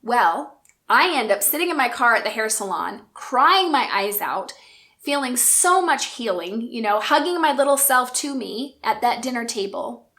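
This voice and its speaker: A woman in her forties telling a personal story in an earnest, reflective and gentle way. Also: a little high, animated, moderate pace. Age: 40s. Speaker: speaker and coach.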